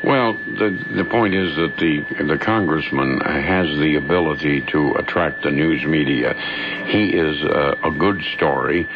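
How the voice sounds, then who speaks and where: A man's voice: a bass voice, measured pace. An American man hosting a talk radio show, chatting casually with a caller. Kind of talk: casual call-in chat. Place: radio studio. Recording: dull AM radio aircheck, noisy hum.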